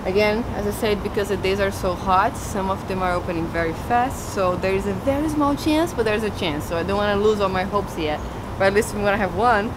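A woman's voice: medium pitch, brisk, hardly any pauses. Young woman, casual garden tour vlog, outdoors in a city, traffic and air-conditioner hum behind. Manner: chatty, walks and points at plants while talking. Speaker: gardening vlogger. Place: outdoor urban community garden plot. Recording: outdoor street noise behind.

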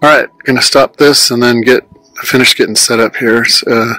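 A man's voice: medium pitch, fairly even intonation, moderate pace, pushed and bright, no room sound, soft background music, low-quality recording. A man narrating a casual outdoor vlog, informally thinking aloud as he explains his radio hobby, recorded outdoors on a handheld phone. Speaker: amateur radio hobbyist filming his outing. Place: outdoors on an open hillside at dusk. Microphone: handheld phone held by the speaker.

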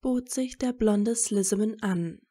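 A woman's voice: mid-range voice, measured pace, warm tone. A woman reading a story aloud as its narrator in a scripted audiobook style.

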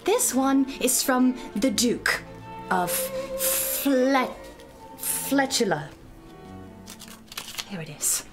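A woman voicing a vain, giggly, boastful maiden in a theatrical puppet comedy, with gushing, drawn-out, sing-song delivery. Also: sits high, expressive, heavily acted. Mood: swooning. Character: vain, giggly maiden sister, boastful about her lovers. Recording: music playing behind the voice.

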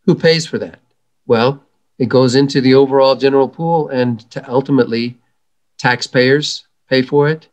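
Earnest middle-aged man explaining calmly. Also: mid-range voice, moderate pace, lo-fi sound. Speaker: environmental educator guest. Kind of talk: interview answers. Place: home room. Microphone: video call.

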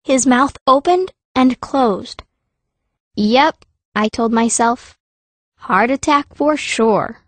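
A woman's voice: on the high side, slow pace, no room sound. A woman playing a fierce, wry teenage girl mage, narrating her own story in an audiobook. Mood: wry storytelling. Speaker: first-person narrator.

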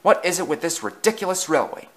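A man's voice: a little high, sing-song, quick pace, pitched above the performer's own voice. A man playing an outraged, fed-up train passenger in a children's story, exclaiming indignantly.

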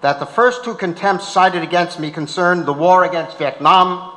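A man's voice: high-pitched, normal speed, a bit bright. A man playing a defiant, indignant old activist on trial in a staged reading of a court transcript. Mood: measured defiance. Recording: reverberant auditorium.

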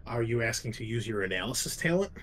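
A man narrating a tabletop role-playing game as game master in a halting, conversational way. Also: mid-range voice, expressive, fairly bright tone. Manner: conversational, halting with frequent 'like'.

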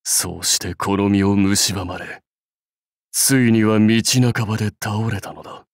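An actor playing a proud, battle-hungry samurai warlord in a game, with grand, archaic, declamatory speech. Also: male voice, lowish voice, fairly even intonation, a little slow, gravelly, dry recording, clean studio sound. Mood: solemn pride. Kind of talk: anime game voice lines.

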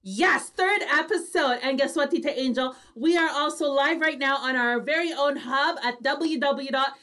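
A woman's voice: high-pitched, normal speed, fairly bright tone. A woman co-hosts an online radio talk show on a video call, chatting casually and effusively with Tagalog tags dropped in. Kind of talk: lively casual co-host chat.

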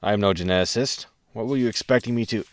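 A man playing a gruff, sarcastic spaceship captain and military pilot in a science fiction comedy audio drama. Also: lowish voice, quick pace, lightly acted. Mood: sardonic. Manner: sardonic, grumbling.

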